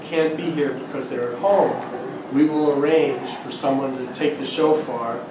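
A man speaks in a class discussion in a relaxed way. He is heard from afar in a very echoey room with clear background noise. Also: medium pitch, moderate pace, far from the mic. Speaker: student in a class.

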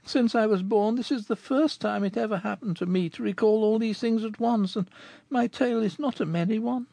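A man's voice: high voice, moderate pace, vocal fry, darkish tone, slightly rough, dry recording, pitched above the performer's own voice, exaggerated voice. A man voicing a sorrowful sixteen-year-old girl in an audiobook, with a plaintive, sighing delivery. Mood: bitter. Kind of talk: audiobook character reading.

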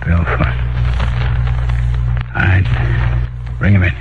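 An actor playing a curt, weary, hard-boiled gang boss in a 1940s radio crime drama, with slangy, commanding lines. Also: male voice, deep voice, flat delivery, slow pace. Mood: curt, wary. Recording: noisy, dull 1940s radio recording.

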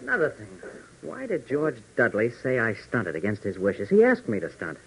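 An actor playing a brisk young male pilot in a 1940s radio adventure serial. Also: mid-range voice, wildly swooping pitch, creaky. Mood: bewildered. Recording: noisy old 1940s radio broadcast.

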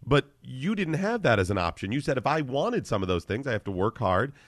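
A man's voice: mid-range voice, big pitch swings, brisk, no room sound, studio quality. A man hosting a talk radio show, effusive in his praise and often repeating words as he starts his sentences.

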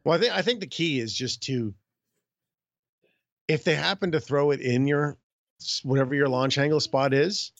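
A hoarse man co-hosting a podcast in casual chat, often clearing his throat and speaking in loose, filler-filled runs. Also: a little high, normal speed, pauses often, no echo, highs cut off. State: hoarse after illness. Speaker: podcast co-host.

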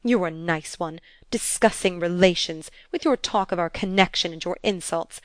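A woman reading aloud and voicing a wrathful, scornful stepmother from period fiction in a loud, vehement manner. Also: on the low side, normal speed, no echo, natural voice. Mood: scornful, furious.

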